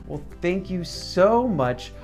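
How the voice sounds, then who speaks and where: Slow, animated man hosting children's storytime. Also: high voice, faint music behind. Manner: encouraging, asks the listener questions. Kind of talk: casual reflective talk to young viewers.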